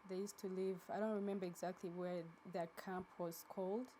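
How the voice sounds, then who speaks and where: A woman in her thirties from Uganda, a guest on an interview, telling her story in a relaxed, soft-spoken way. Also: lowish voice, fairly quick, slight background noise. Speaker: interview guest, charity co-founder. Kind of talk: casual interview.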